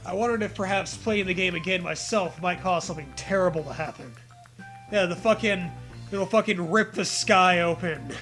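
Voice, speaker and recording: A man's voice: sits high, moderate pace, fairly bright tone, soft background music. A man reading a story aloud in a comedic way, with mocking, profane casual riffing.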